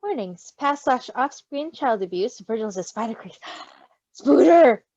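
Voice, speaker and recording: A woman with an expressive, giggly way of talking, chatting casually and reading a story with a friend. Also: fairly high pitch, a little slow, no echo, muffled recording. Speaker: co-host reading fan fiction aloud.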